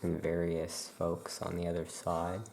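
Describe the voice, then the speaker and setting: Soft-spoken ASMR shopkeeper, low-pitched and mellow, unhurried item-by-item explanation, faint ambient noise. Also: monotone, creaky. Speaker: ASMR performer as witchcraft shopkeeper.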